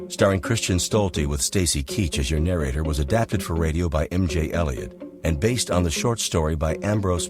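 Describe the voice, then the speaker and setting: Very deep male announcer. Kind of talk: scripted credits announcement. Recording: announcement over music.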